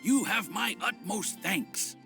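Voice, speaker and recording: An actor playing an obliging, smooth-talking landlord in a fantasy game, over-polite and effusive. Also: male voice, sits high, slow pace, sharp tone, faint music behind, exaggerated voice. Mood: pleased, grateful.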